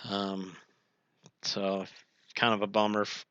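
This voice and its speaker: A man's voice: lowish voice, completely flat delivery, slow pace, a bit bright, smooth, no echo. A man hosting a solo podcast, rambling through his thoughts with lots of fillers and tangents. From United States. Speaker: podcast host. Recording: dull lo-fi sound, dry.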